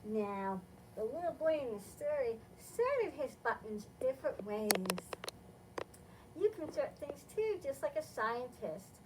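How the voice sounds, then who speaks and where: A woman with a fairly high voice leads a storytime for young children, speaking in simple, instructive phrases. She sounds like a library host reading and teaching. There is a faint rumbling noise in the recording. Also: sing-song, a little slow, distant mic. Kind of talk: read-aloud picture book and simple science explanation for young children.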